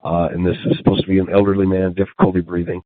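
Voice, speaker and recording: Deep voiced guy doing emergency radio dispatch, tinny phone-like channel, procedural, repeats stuff back. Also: flat delivery, very fast, no echo. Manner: procedural, reads messages back. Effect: two-way radio. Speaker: emergency medical group dispatcher. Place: command post.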